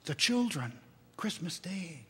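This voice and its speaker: A man playing a mild, kindly poor clerk and father in a storybook reading, gentle and placating. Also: a little high, sing-song, slow, husky, heavily acted. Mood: gently placating. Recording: church hall reverb.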